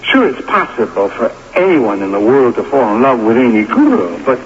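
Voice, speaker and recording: A man's voice: medium pitch, very animated, moderate pace, natural voice. A man playing an older, pragmatic pal giving insistent, colloquial advice in a radio drama. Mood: urgent, persuading. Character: older pal, streetwise, pragmatic, pushy advice-giver to young athlete. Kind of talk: 1980s radio drama acting. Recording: dull noisy radio recording, long reverb.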